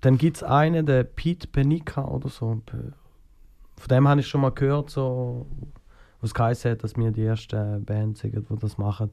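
A man's voice: mid-range voice, fairly even intonation, unhurried, creaky, warm tone. Hesitant Swiss German man, Schaffhausen dialect. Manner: hesitant, many fillers and restarts. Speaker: guest singer. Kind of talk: casual interview answers.

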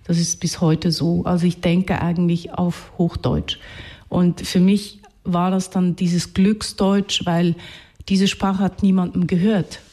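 Mellow-voiced Swiss woman, thoughtful. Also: on the low side, level tone, slow pace. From Switzerland, Zurich region. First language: Hungarian. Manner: thoughtful, searching for words, self-correcting. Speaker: writer, interview guest. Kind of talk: reflective spoken interview.

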